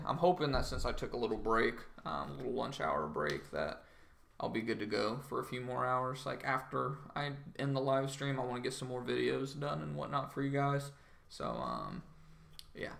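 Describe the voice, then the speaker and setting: A man's voice: mid-range voice, very animated, normal speed, gravelly, fairly bright tone. Groggy-voiced guy rambling on a livestream. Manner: rambling, filler-heavy, says "like" and "um" constantly. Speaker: stock trading livestreamer. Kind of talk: casual livestream chat with viewers.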